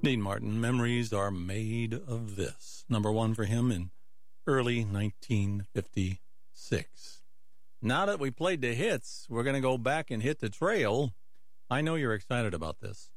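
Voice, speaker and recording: A man presents records on a music radio show in a casual, chatty way. His voice has a middle pitch and sits close and dry, with informal collector's asides between songs. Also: unhurried, no echo. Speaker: radio host.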